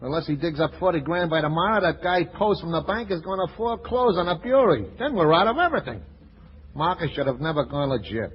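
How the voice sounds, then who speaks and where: A man's voice: fairly high pitch, expressive, brisk, breathy edge. An actor playing a dim, griping gangster henchman with fractured grammar in a 1940s radio comedy. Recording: dull 1940s radio recording.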